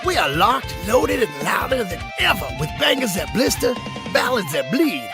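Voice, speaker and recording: Male rock radio host, bombastic hype-man delivery, swooping pitch, loud guitar music underneath. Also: big pitch swings, moderate pace. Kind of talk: high-energy rock radio DJ patter, heavily alliterative.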